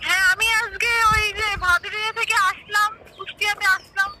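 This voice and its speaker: Young Bangladeshi-accented woman, very high voice, casual phone chat, heavy background noise. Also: level tone, fairly quick. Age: young adult. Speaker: prank call recipient. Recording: very noisy phone call on speaker.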